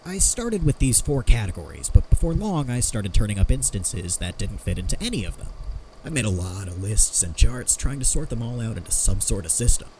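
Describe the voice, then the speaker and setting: A man's voice: fairly low pitch, very animated, a little fast, noisy background. A man playing an eager, self-deprecating amateur inventor who rambles through explanations in a conversational fan audio drama. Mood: sheepish, earnest. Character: eager amateur inventor explaining his homemade theory of strange phenomena.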